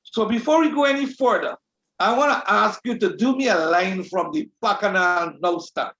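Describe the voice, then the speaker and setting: Formal host in an echoey room. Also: male voice, sits high, lively intonation, moderate pace, a bit bright. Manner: formal, courteous. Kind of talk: online show hosting and sponsor reads. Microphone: video call. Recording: echoey, dull online audio.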